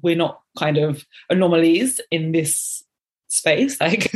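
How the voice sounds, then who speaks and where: A woman's voice: low voice, lively intonation, takes it slowly. A young adult woman hosting a podcast interview, encouraging and affirming her guest. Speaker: podcast host.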